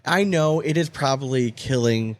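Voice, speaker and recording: A man's voice: mid-range voice, moderate pace, no room sound. A man hosting a casual sports podcast chat, talking in a blunt, emphatic way and swearing freely. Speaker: podcast host.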